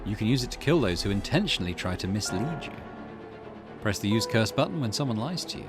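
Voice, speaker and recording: A man hosting a gaming video, reading a visual novel aloud and commenting in an expressive storytelling manner. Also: lowish voice, fast. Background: music.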